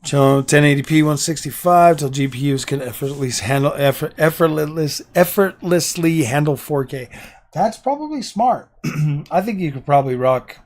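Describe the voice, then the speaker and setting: A middle-aged man talks casually to viewers from his cluttered gaming room, his voice sitting a little high for a man. He rambles, circles back and second-guesses himself, and his voice is going hoarse. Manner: rambling and indecisive, repeats himself. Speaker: livestream host. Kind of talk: casual live stream chat with viewers.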